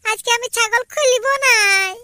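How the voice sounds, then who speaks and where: Very high, squeaky little boy. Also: fairly even intonation, normal speed, sharp tone, no room sound, heavily acted. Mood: defiant. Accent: Purulia Bengali. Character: stubborn, wheedling little village boy. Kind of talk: amateur cartoon comedy dialogue.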